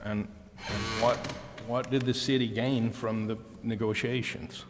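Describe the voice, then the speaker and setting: Reverberant male council member, halting delivery. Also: medium pitch, flat delivery, faint music behind. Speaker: city council member at a public meeting. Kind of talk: deliberative questioning at a formal meeting. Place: council chamber. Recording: echoey hall with long reverb.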